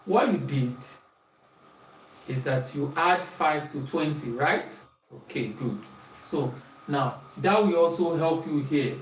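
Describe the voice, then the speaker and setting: A man's voice: on the high side, animated, takes it slowly, distant mic. A man with a Nigerian accent teaching a maths lesson online, repeating questions and reading out students' answers. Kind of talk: online maths lesson, working through examples. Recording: echoey room, voice off-mic, faint hiss.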